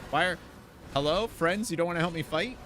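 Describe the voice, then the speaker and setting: Man streaming games in a bright voice. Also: a little high, a little fast, a little hiss or hum. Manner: informal, enthusiastic, rambling. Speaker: video game streamer. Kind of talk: casual gameplay commentary, chatting with viewers.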